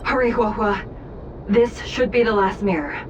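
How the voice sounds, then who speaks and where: An actress playing a stern, composed judge in a video game, speaking in a formal, measured way. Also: female voice, medium pitch, lo-fi sound, heavy background noise, natural voice. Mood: beckoning. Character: stern, composed female judge, an illusory double. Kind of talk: video game character acting.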